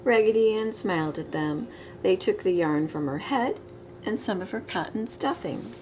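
A middle-aged woman reading a children's picture book aloud with expressive, emphasized storybook delivery. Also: medium pitch, moderate pace. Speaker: storytime reader. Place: living room, indoors. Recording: clear background room noise.

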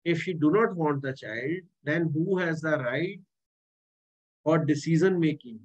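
Indian english guy teaching law exam prep, emphatic, repeats stuff, slightly high voice, muffled lo-fi audio. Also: measured pace. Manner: emphatic, repeats key points and dates. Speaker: law lecturer. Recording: dull lo-fi recording.

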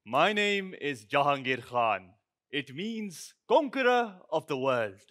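A man's voice: on the high side, big pitch swings, takes it slowly, a bit bright, heavily acted. An actor playing a boastful, tenacious male squash champion from Pakistan, declaiming theatrically in a cocky, emphatic manner. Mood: proud. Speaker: actor in a stage show about squash. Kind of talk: theatrical stage performance.

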